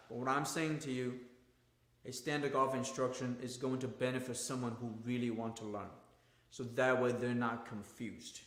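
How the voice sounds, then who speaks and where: A man's voice: medium pitch, echoey room. A male golf instructor, not a native English speaker, giving an emphatic, repetitive livestream talk in plain, non-native grammar.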